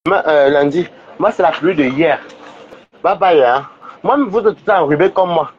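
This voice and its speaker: A man's voice: a little high, normal speed, dull audio. A man with a cold, rambling and scolding on a livestream, with many stumbling restarts. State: stuffy with a cold.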